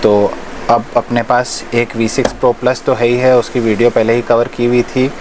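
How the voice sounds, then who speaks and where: A man's voice: medium pitch, little pitch movement, normal speed. A man from India giving a casual, explanatory product demonstration, mixing English words into his talk. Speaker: shop presenter reviewing riding gear. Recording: clear background noise, vehicle sounds.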